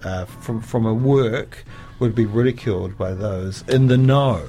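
Male interviewer, lowish voice, chatty, lots of yeahs. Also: measured pace, mellow. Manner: rambling, frequent agreeing fillers. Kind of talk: conversational interview.

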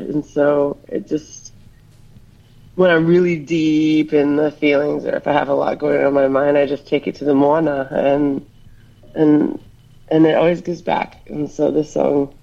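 A woman's voice: low voice, vocal fry, dark tone, dull audio. A woman from Hawaii, a musician guest in a casual interview, talking reflectively and rambling with fillers.

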